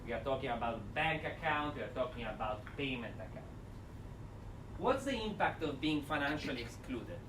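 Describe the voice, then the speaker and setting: A man with a thick Italian accent giving an energetic, interactive seminar talk, standing and speaking without a microphone. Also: medium pitch, normal speed. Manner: energetic, addresses audience directly. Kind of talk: interactive lecture. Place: university seminar room. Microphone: no mic, standing away from recorder. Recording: distant voice with faint room noise.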